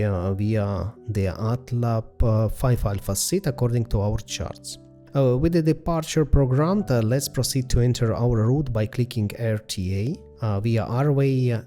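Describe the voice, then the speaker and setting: A man's voice: medium pitch, mellow. A man hosting a flight simulator video, giving methodical step-by-step commentary in a hesitant delivery.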